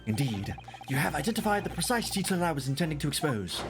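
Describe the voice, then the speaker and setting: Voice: mid-range voice, big pitch swings, fast, music in the background. A streamer reading the lines of a theatrical eccentric male detective in a grand, declamatory way. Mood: grandiose.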